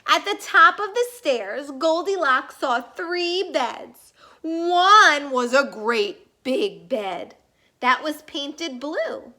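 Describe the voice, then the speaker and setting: A woman narrates a children's story in a high, bright voice. She reads expressively and unhurriedly, with big swings of pitch. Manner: animated, deliberate storytelling with emphatic words.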